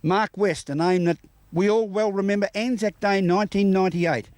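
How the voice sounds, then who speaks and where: A man's voice: sits high, fairly even intonation, moderate pace, darkish tone, no echo. An Australian man presenting a local TV news interview, with formal, courteous phrasing.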